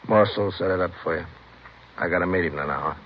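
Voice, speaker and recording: An actor playing a bossy, quarrelsome drug gangster, sneering and slangy, in a 1950s radio crime drama. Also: male voice, low-pitched, fast. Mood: guarded, curt. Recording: muffled, noisy old radio recording.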